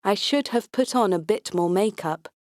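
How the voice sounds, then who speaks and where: A woman's voice: mid-range voice, normal speed, a little mellow, dry recording, studio quality. A woman reading a science fiction comedy audiobook aloud in the first person, with a wry, tongue-in-cheek delivery. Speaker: audiobook narrator.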